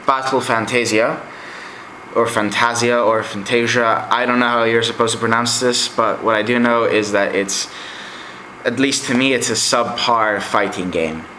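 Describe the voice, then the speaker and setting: A man's voice: mid-range voice, fairly even intonation, moderate pace, background noise. A young man whose first language is Greek gives a casual, rambling review of his game collection, with many fillers. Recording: echoey room.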